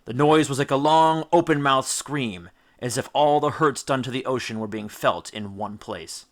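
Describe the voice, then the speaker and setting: A man reading an epic story aloud as an audiobook, with theatrical, emphatic delivery and deliberate dramatic stresses. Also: mid-range voice. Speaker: audiobook narrator. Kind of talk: dramatic scripted storybook narration.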